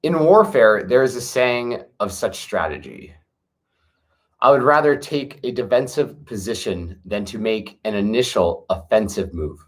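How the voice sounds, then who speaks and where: A man with a fairly low voice reads slow, solemn verses aloud. He speaks in a measured, earnest way and leans into key words for emphasis. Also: lively intonation. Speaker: reader of a classic text. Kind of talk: solemn reading aloud with asides.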